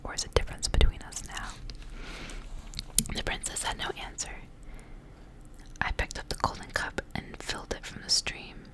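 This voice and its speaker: A woman doing ASMR, reading a story aloud in a soft, breathy whisper. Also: normal speed, pauses often.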